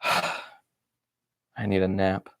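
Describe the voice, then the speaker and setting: A man's voice: low-pitched, monotone, extremely slow, vocal fry, a bit bright, slight background noise, pitched below the performer's own voice, exaggerated voice. A man doing a grumpy stuffed bunny. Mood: exasperated. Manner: exasperated complaining diary reading. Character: grumpy put-upon stuffed bunny, male.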